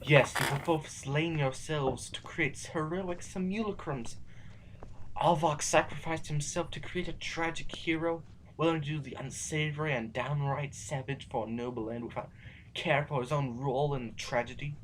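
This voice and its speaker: A man acts out a brooding idealist rebel who never wanted to fight. His voice is fairly high for a man, and his delivery is theatrical, wordy and bitter. It is a sci-fi audio drama with faint noise on the recording. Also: fairly even intonation, moderate pace, close to an everyday voice. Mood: bitter accusation. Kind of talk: science fiction audio drama acting. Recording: faintly noisy amateur recording.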